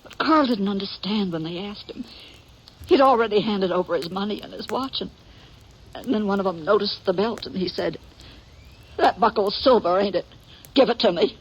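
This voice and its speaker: A woman playing a grieving middle-aged American frontier widow in a 1950s radio drama, halting and distraught as she repeats herself. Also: mid-range voice, husky, lightly acted. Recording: dull, noisy 1950s radio recording.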